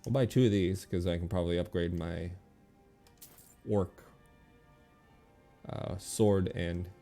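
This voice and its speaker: A man giving casual video game commentary, thinking aloud in a relaxed, muttering way as he makes decisions. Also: sits low, slow pace, faint music behind.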